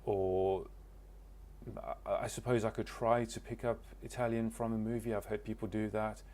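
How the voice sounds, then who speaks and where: A man's voice: fairly low pitch, flat delivery, normal speed, darkish tone. A man from Cornwall, introducing himself to camera in a casual, hesitant way, often stopping to search for words. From Cornwall, England. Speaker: language learner.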